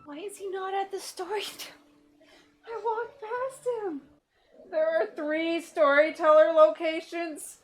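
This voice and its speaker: A woman's voice: very high-pitched, steady tone, measured pace. A woman giving casual, playful commentary while streaming a game. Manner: giggly, playful.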